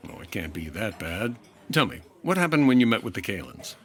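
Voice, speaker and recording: A man's voice: on the low side, sing-song, fairly quick, slightly rough. A man playing a patient, wry 1950s insurance investigator in old-time radio detective style, dry and understated. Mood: curious, patient. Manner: dry, understated, world-weary.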